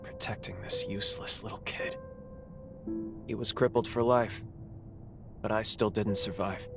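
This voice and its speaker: Lead: brooding fighter, lowish, slow and earnest, scored scene. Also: male voice, steady tone, vocal fry. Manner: earnest, subdued, confessional. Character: guilt-ridden reckless young male fighter. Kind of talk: video game voice acting. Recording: game cutscene with music underneath.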